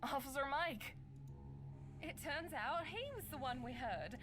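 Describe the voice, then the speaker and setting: An actress playing a skeptical young woman who speaks in a dry, sharp, exasperated way. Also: high voice, animated, unhurried, faint music behind. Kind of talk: game voice acting.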